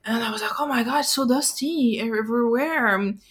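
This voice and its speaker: A woman with a French accent, a guest on a casual podcast chat, often pausing and searching for words. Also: a little high, a bit bright, husky. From French and Algerian.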